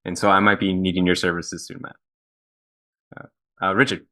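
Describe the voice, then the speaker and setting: A man hosting a product team panel on a video call, talking quickly with loose restarts. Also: deep voice, flat delivery, sharp tone. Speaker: host, head of product.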